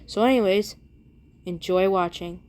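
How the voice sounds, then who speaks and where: A woman's voice: mid-range voice, a little slow, darkish tone. A woman chatting casually to viewers as the host of her own video. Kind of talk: casual video intro and outro chat.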